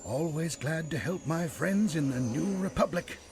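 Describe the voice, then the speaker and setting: A breezy, opportunistic informant with a fairly high, animated voice. He is chatty and ingratiating, in an audio drama with clear noise behind him. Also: normal speed. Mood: oily.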